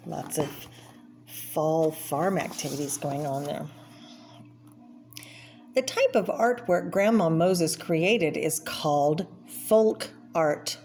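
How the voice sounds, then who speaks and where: A woman reads a children's nonfiction book aloud at a very unhurried pace. Her voice sits a little low, and she explains things plainly for young listeners. There is clear noise in the homemade recording. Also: animated, darkish tone. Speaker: reader of a children's art book. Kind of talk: read-aloud narration with brief personal asides.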